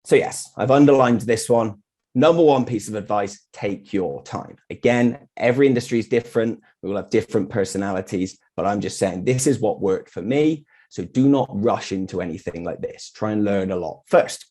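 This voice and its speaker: A man's voice: fairly low pitch, moderate pace, mellow. A middle-aged British man giving an informal presentation from his own experience as a designer, in a candid, blunt way. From United Kingdom. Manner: candid, blunt, mildly sweary. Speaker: freelance graphic designer giving a meetup talk. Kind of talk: informal presentation with personal anecdotes.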